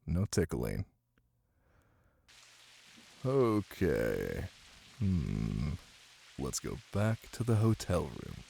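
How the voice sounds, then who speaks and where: A man doing a cozy boyfriend ASMR bedtime reading, soft, intimate and playful. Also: very deep voice, huge pitch swings, extremely slow, vocal fry, long pauses. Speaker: ASMR voice actor playing a caring boyfriend. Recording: light hiss under rain ambience. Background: rain.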